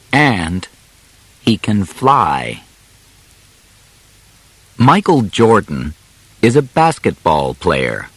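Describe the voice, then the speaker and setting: A man narrating an audiobook biography from a script, phrasing deliberately in short, separated chunks. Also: low-pitched, no echo.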